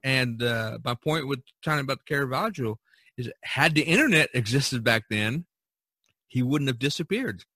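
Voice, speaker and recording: A man's voice: mid-range voice, animated, normal speed, pushed and bright, no echo. A man hosting a casual podcast discussion, speaking emphatically and repeating phrases for stress. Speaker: podcast host.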